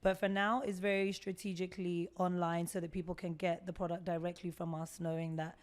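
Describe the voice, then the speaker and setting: A woman's voice: lowish voice, fairly quick. A woman from the United Kingdom, a skincare entrepreneur, talking animatedly as a guest in a casual interview. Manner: animated and talkative, storytelling.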